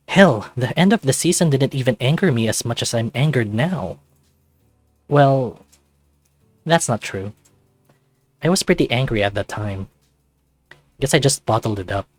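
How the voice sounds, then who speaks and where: Man playing an exasperated, animated teenage soccer player. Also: mid-range voice, no echo. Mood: sour. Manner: exasperated, sarcastic. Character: grumpy, excitable teenage male high school soccer player.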